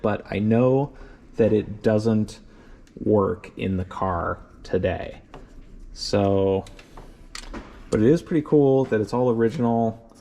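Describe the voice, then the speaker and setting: A man's voice: fairly low pitch, warm tone. A man narrating a hands-on vlog in an informal way, thinking aloud as he examines things. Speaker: car owner and vlogger.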